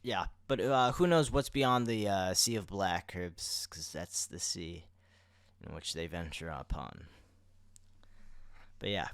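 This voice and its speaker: A man's voice: low voice, takes it slowly, clean tone, dry recording. A man co-hosting a podcast in casual conversation, explaining things in an informal, rambling way. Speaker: podcast co-host.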